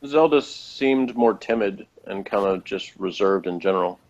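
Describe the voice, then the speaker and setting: A man's voice: on the low side, normal speed, a little mellow, lo-fi sound. A man chatting informally as he co-hosts a TV review, talking in a hesitant, rambling way. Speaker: co-host of a TV review show. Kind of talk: casual review chat.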